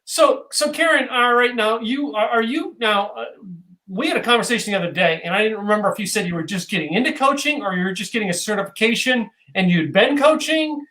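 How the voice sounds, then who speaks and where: A man's voice: sits high, animated, brisk, pushed and bright, low-quality recording. A man hosting a casual video-call interview from a loft room at home, rambling and joking with lots of fillers. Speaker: show host.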